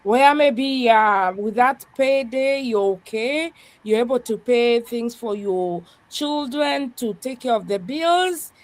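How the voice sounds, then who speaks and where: A woman's voice: on the high side, slow pace, hardly any pauses, no room sound. A woman pastor speaking earnestly in a conversation, with a strong voice, who often adds "yeah" as she talks. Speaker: pastor, guest. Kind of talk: podcast conversation, reflective.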